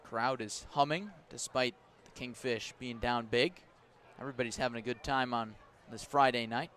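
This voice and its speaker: A man doing live baseball play-by-play on the radio at an outdoor ballpark, measured between plays and rising with the action. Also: mid-range voice, fairly even intonation, a bit bright. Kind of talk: live sports commentary.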